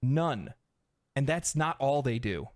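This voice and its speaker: A man's voice: medium pitch, sing-song, takes it slowly, dry recording, clean studio sound. Earnest man narrating a video essay.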